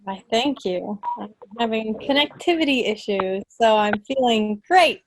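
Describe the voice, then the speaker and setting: A woman's voice: on the high side, lively intonation, measured pace. A young adult woman chatting casually as a club quiz host over a video call. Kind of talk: casual chat. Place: living room at home. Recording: noisy video-call audio with clicks.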